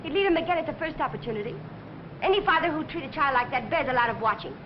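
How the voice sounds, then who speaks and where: Headstrong, high-voiced American woman. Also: lively intonation, very fast. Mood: defiant. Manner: firm, indignant, outspoken. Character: headstrong, meddling young woman, fiercely principled. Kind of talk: vintage Hollywood film acting. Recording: muffled old film soundtrack, clear noise.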